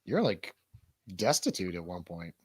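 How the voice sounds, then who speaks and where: A man's voice: sits low, sing-song, measured pace, slightly rough, no room sound, studio quality. A man hosting a casual stream, asking questions and teasing in informal bro slang. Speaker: stream host interviewing a friend.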